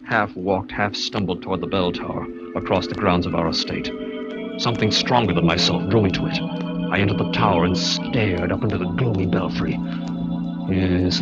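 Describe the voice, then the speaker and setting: An actor playing a haunted, brooding young heir, narrating his own tale dramatically and haltingly in 1940s radio style. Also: low voice, lightly acted. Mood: uneasy, awed. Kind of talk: 1940s radio acting, first-person narration. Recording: muffled vintage radio broadcast over loud music.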